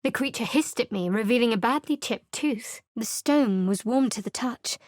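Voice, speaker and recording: Game role: an actress as a sardonic guarded young cleric, fairly high voice, dry close studio sound. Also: female voice, moderate pace, no echo, natural voice. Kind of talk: video game character voice lines. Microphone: close studio mic.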